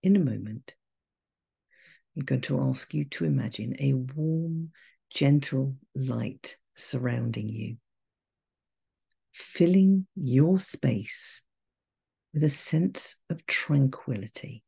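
Woman, meditation guide, very breathy and husky, heavy vocal fry, gentle, calm, measured pauses. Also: rumbling low.

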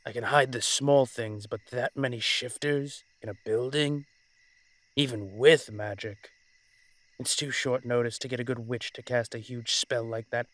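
A man playing a commanding, weary dragon-shifter mafia boss in a dramatic roleplay audio, speaking in a measured, authoritative way. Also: mid-range voice, fairly even intonation, fairly bright tone, lightly acted. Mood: grave, commanding.

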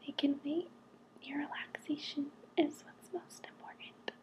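A woman leads an ASMR relaxation in a close, breathy whisper. Her pitch sits high and her pace is very unhurried. She sounds gentle and reassuring throughout, as if guiding one listener. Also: steady tone. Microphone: close to the mic.

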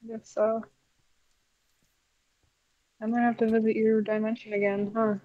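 A woman's voice: on the high side, level tone, moderate pace, lo-fi sound, lightly acted. A woman playing a weary, wary puppet animatronic in improvised game roleplay, dryly sarcastic and dismissive. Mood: dry, teasing. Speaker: player in a Minecraft roleplay. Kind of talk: improvised in-character game banter.

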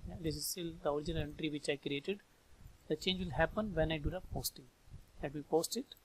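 A man with an Indian accent and a slightly high-pitched voice works through a software lesson step by step. He thinks aloud in short, informal phrases. Faint noise and clicking sit behind the voice. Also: brisk, poor audio. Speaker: software trainer. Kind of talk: step-by-step screen tutorial narration. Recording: background noise with mouse clicks.

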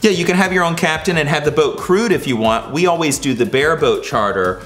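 A man in his forties co-hosting a travel show, chatting with his wife in a relaxed, conversational way on a studio set. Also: a little high, fairly quick, faint music behind. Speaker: co-host. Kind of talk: casual scripted chat. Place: indoor studio set with sofa.

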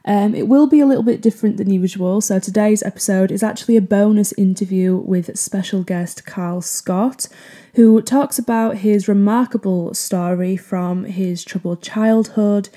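A young woman hosting a podcast, introducing the episode in a gentle, upbeat way. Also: medium pitch, moderate pace. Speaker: podcast host. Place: living room with sofa.

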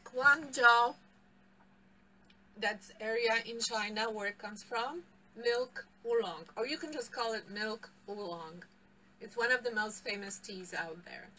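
A woman's voice: a little high, measured pace, bright, forceful tone, far from the mic, rough recording. A middle-aged woman with a Polish accent teaching a live tea tasting class in a tea shop, picked up by a camera across the table, explaining and laughing often. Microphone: far camera across table.

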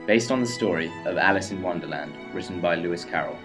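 Male announcer for drama credits, low-pitched, over prominent music. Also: quick pace. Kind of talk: scripted credits narration. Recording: loud music under voice.

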